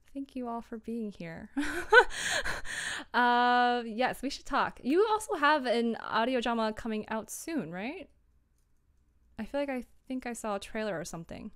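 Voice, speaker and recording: A woman's voice: a little high, big pitch swings, measured pace. A young woman chatting casually on a work livestream, giggly and chatty, sometimes murmuring to herself as she works. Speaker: audio drama creator, live streamer. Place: small room at home, indoors.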